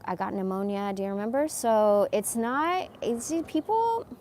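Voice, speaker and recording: A woman in her forties with a medium-pitched voice, talking off the cuff. She is candid and emphatic, drifting through a casual personal monologue full of slang. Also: big pitch swings, unhurried, darkish tone, slight background noise. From born in Iran, moved to America as a young child. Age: 40s. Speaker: live streamer.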